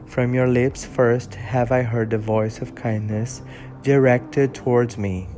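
A man's voice: mid-range voice, steady tone, takes it slowly, dark tone, soft background music, lightly acted. A man reading a pleading lonely creature. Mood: grateful. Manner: fervent, imploring. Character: lonely shunned creature, male, pleading and desperate.

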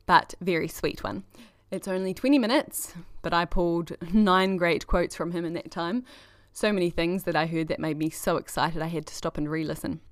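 A woman's voice: lowish voice, no echo, studio quality. A woman hosting a podcast, giving a chatty, enthusiastic scripted introduction. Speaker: podcast host.

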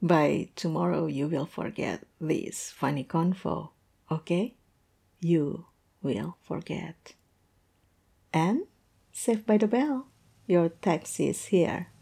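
A woman's voice: lowish voice, takes it slowly, vocal fry, pauses often, warm tone, husky, exaggerated voice. A woman acting in a roleplay audio drama as a tipsy, lovestruck young woman, self-mocking and playfully theatrical. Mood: soft, wistful.

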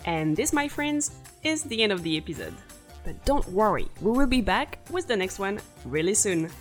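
A woman's voice: mid-range voice, sing-song, normal speed, echoey room, music in the background. A woman co-hosting a podcast, explaining things in an easygoing way with fillers. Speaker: podcast co-host. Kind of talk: casual chat.

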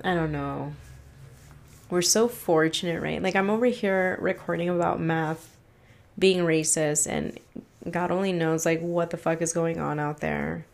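A woman's voice: on the low side, steady tone, a little slow, gravelly. A woman hosting a casual solo podcast, ranting in a frustrated, rambling way and swearing freely, a little congested from allergies. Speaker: podcast host.

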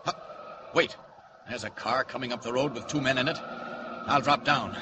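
An actor playing a confident, wry superhero who thinks aloud briskly in an old 1940s radio serial. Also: male voice, medium pitch, natural voice. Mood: tense, determined. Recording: noisy old radio serial, loud vehicle effects.

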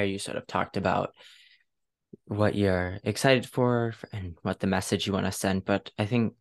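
A woman hosting a casual podcast interview, speaking in an effusive, gushing way. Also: rumbling low. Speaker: podcast host.

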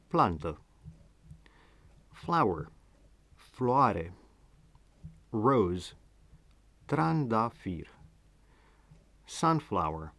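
A Romanian-accented man presents a language lesson on the radio, his pitch rising and falling freely. He speaks slowly, pausing over each word. The sound is dry and close. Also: no echo. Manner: slow, deliberate word-by-word teaching. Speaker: language show host.

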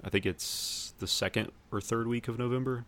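A man's voice: medium pitch, steady tone, moderate pace, fairly bright tone. A man co-hosting a podcast, chatting casually about films in a laid-back, slightly hesitant way. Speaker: podcast co-host.

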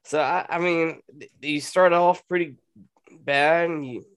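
A man's voice: a little high, measured pace, a bit bright, clean tone. A man co-hosting a sports betting show, laid-back and easygoing. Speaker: podcast co-host. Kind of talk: casual sports betting chat.

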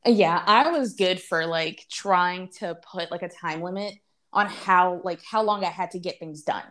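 A woman's voice: fairly low pitch, measured pace, a bit bright. A woman in her twenties talking candidly in a casual chat, full of "like" fillers. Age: 20s. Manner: candid, heavy use of "like".

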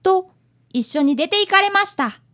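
A woman's voice: very high voice, animated, normal speed, a bit bright. A woman playing a prim, attentive detective's assistant, polite and matter-of-fact, in a comic radio drama. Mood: brisk, polite.

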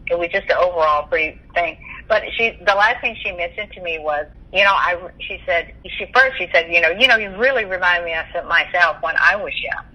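An older woman from Texas shares memories as an interview guest. Her voice is strikingly bright and forceful. She rambles along chattily on a telephone. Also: fairly low pitch, brisk, low-quality recording. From Texas, United States. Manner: talkative, rambling. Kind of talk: conversational reminiscence.

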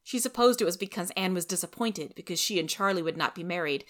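A woman's voice: lowish voice, fast talker. A woman reading scripted storybook narration expressively, as a podcast story reader.

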